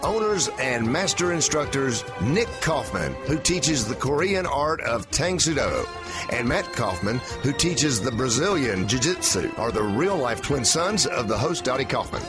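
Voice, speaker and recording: A man's voice: mid-range voice, big pitch swings, measured pace. A man reading a scripted radio sponsor announcement. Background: music.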